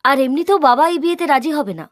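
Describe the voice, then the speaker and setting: An earnest young village girl in a cartoon, voiced by a woman. She speaks high and expressively, pleading politely and with reproach, recorded very dry. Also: lively intonation, rapid-fire, dry recording. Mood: reproachful. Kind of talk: cartoon character dialogue.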